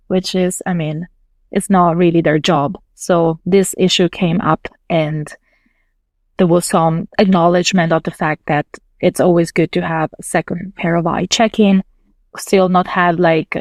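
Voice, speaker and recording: Woman, Italian native, low-pitched, dry close sound, thoughtful interview guest. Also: no room sound. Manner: thoughtful, explains step by step with fillers. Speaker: podcast guest, localization manager. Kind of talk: casual interview talk.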